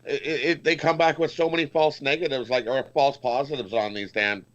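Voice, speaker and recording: A man's voice: mid-range voice, steady tone, fairly quick. A man chatting casually as a sports betting panelist, blunt and emphatic, often repeating himself. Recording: dull audio, faint noise, clicks.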